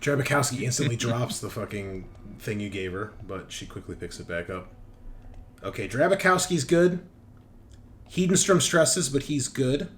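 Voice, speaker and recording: A man's voice: medium pitch, lively intonation, moderate pace, slight background noise. A man acting as game master, narrating a tabletop roleplaying game in a casual, profane, bantering way. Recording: music behind the voice. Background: background music.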